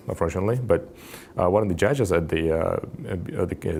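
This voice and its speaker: A young man from Russia in his twenties, a master's student speaking haltingly with many fillers in a testimonial interview. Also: low-pitched, a little mellow, slight background noise.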